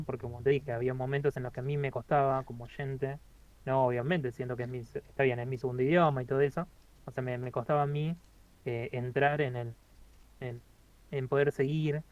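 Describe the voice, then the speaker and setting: A man, co-hosting a casual album review, talking haltingly with many fillers and restarts. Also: medium pitch, dull audio. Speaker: podcast co-host reviewing an album.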